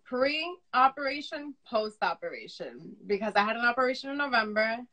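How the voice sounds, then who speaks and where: A woman, young to middle-aged, with a loud voice, chats casually and candidly as an interview guest, repeating phrases for emphasis. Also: fairly high pitch, expressive, normal speed, rough recording. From Dominican upbringing. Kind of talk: casual personal conversation. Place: remote live video chat.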